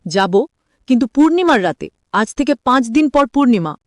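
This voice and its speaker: A woman voicing a thoughtful, earnest elderly grandfather in a story, in a measured, reflective manner. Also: a little high, big pitch swings, no echo, clean studio sound.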